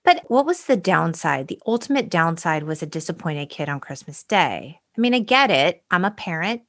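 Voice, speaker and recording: A woman's voice: fairly low pitch, very animated, normal speed, a bit bright. American woman podcast co-host, wry and emphatic. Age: middle-aged. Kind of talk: casual chat mixed with reading quotes from old articles.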